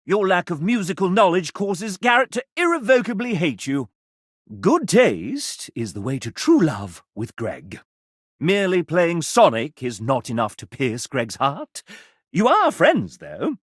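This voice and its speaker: A man voicing a sassy, arch gentleman who narrates a dating video game in a theatrical, mock-genteel and sardonic manner. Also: high voice, huge pitch swings, unhurried, husky, dry recording, clean studio sound, full character voice. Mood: mock-regretful, cutting, then arch, playful.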